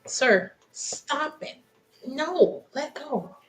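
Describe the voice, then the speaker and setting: A woman's voice: high-pitched, expressive, extremely slow, slight background noise. A woman chatting casually as a player at a tabletop roleplaying game, hesitant and rambling as she thinks aloud.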